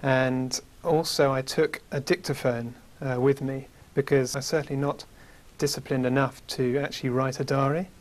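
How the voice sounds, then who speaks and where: A man in his thirties with a British accent, a guest in a studio interview, telling about his travels in a measured way with many fillers, on a lapel mic. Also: medium pitch, little pitch movement. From United Kingdom. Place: small studio with curtain backdrop.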